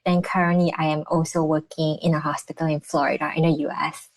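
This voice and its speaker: A young woman, a dietitian answering interview questions, bubbly and halting, with many restarts. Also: low-pitched, little pitch movement, dry recording. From Malaysia. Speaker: guest, clinical dietitian.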